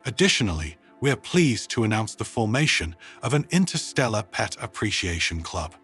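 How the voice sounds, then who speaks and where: Man as formal booming announcer, husky edge. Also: very animated, normal speed, fairly bright tone, faint music behind, heavily acted. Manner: formal, official.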